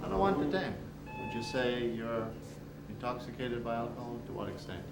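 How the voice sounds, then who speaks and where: A man supervising a driving test, explaining the procedure and questioning participants in a matter-of-fact, deliberate way. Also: mid-range voice, very animated. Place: outdoor closed driving course. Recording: echoey outdoor recording with ambient noise.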